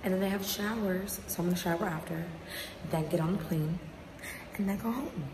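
A woman's voice: fairly low pitch, fairly quick, noisy background. A young woman in her twenties talking casually into a phone held at arm's length at a resort. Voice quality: raspy in the morning. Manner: soft, half-whispered at night. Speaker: travel vlogger. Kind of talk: casual selfie vlog. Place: hotel rooms and resort grounds.

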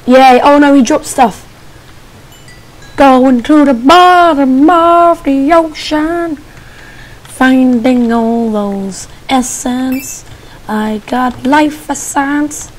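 A young woman giving casual, excitable commentary while playing a game, squealing and making playful sing-song noises. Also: high voice, extremely slow, long pauses, low-quality recording. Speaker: gamer. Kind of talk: casual let's play commentary.

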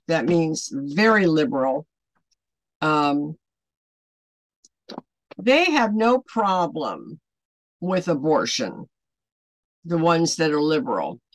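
A woman in her seventies teaching a Bible devotional to camera at home, earnest and deliberate, putting emphatic questions to the listener. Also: on the low side, no room sound, low-quality recording. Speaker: online Bible study host. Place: small home room, indoors.

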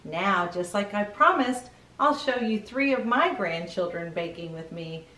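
A middle-aged woman hosting a children's read-aloud, speaking deliberately to young listeners. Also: medium pitch, expressive, bright, forceful tone, reverberant, far from the mic, low-quality recording.

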